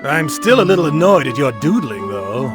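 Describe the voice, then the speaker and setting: A man's voice: a little high, very animated, a little fast. A man playing an irritable, haughty god of destruction in a video game, grumbling and imperious. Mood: grudging. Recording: loud game music underneath.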